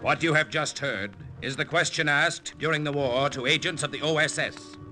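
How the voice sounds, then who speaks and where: A man announcing a scripted radio program in a dramatic, deliberate 1940s style. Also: a little high. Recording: vintage radio recording with music. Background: dramatic music.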